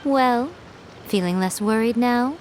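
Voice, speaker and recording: A woman's voice: a little high, very noisy. A woman playing a sly, self-assured, elegant fox-spirit lady in an anime game, teasing and knowing. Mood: smug, reassuring. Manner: teasing, knowing, unhurried confidence.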